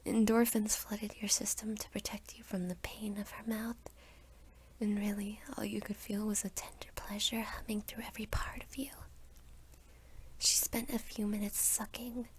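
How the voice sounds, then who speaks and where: A young adult woman reading a scripted second-person story as ASMR in a soft, whispery voice. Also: medium pitch, level tone, normal speed, slight background noise. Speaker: roleplay narrator.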